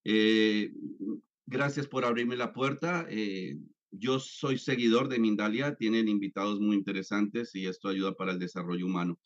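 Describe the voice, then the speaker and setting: A man's voice: fairly low pitch, steady tone, normal speed. A man in his fifties from Colombia, giving an interview as a psychologist and talking with many fillers and restarts. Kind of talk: conversational interview. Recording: dull lo-fi sound.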